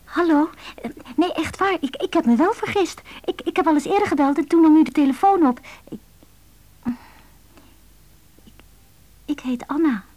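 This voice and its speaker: Shy young-sounding woman, high voice, soft, stammering, hesitant, naturalistic radio play. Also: animated, normal speed, pauses often. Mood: nervous, admiring. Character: shy, hesitant woman phoning a stranger's answering machine. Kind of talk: naturalistic Dutch radio drama acting.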